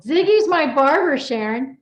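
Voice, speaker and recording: Female chat host, higher pitched, effusive and encouraging, echoey video call sound. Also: expressive, measured pace. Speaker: host, coach and book compiler. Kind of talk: casual chat, hosting a group interview.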